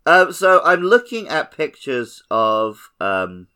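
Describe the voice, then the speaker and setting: A man's voice: fairly high pitch, big pitch swings, takes it slowly, bright, forceful tone, clean tone. A man co-hosting a podcast, chatting casually about a video game. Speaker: podcast co-host.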